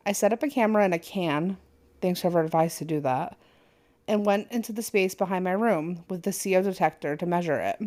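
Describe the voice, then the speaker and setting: A woman's voice: lowish voice, darkish tone. A woman co-hosting a casual podcast, reading an online post aloud with little asides and stumbles. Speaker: podcast co-host.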